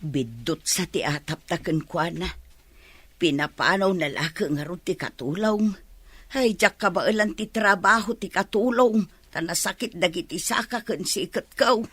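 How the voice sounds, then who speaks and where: Radio drama: older mother, exasperated and complaining, raspy husky tone, very dry recording. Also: on the low side, moderate pace, fairly bright tone, no room sound. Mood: grumbling, regretful. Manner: grumbling, exasperated. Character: suspicious, grumbling older mother of a married daughter.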